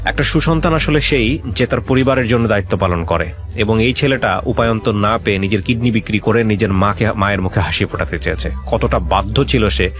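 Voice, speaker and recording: A man's voice: lowish voice, fairly quick, soft background music. A man hosting a talk show, interviewing a guest and earnestly offering advice. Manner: earnest, gently advising. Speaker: radio show host. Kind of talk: interviewing a guest and reflecting on his story.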